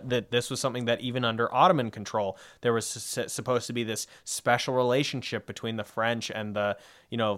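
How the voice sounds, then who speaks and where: A man's voice: lowish voice, a bit bright. A young man co-hosting a discussion podcast, explaining at length in a rambling way with lots of fillers and asides. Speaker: podcast co-host.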